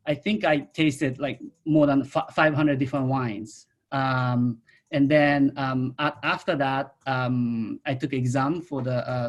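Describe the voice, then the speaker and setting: A middle-aged man from Japan with a Japanese accent, speaking non-native English haltingly in an informal talk about himself on a video call. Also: medium pitch. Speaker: winemaker, guest speaker.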